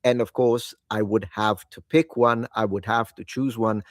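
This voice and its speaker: A man's voice: on the low side, steady tone, a little slow, no echo, clean studio sound. A tired man whose native language is Italian, chatting casually and enthusiastically about the languages he wants to learn, often rambling and correcting himself. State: tired, late at night. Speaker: language-learning video host. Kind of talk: casual solo talk to camera.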